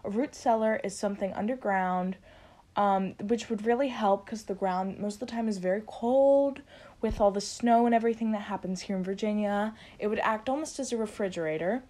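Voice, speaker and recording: A woman's voice: medium pitch, brisk, warm tone. A young woman student giving an informal spoken explanation of how people used to live. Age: young adult. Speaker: student storyteller. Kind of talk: informal explanatory oral history.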